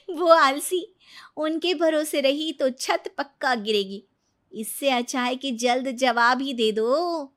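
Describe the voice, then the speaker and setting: Cartoon daughter-in-law, female actor: young, smug, challenging, self-assured, pitched well up high. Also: slow, a bit bright. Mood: smug, teasing. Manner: teasing, self-assured riddle posing. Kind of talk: animated Hindi moral story voice acting.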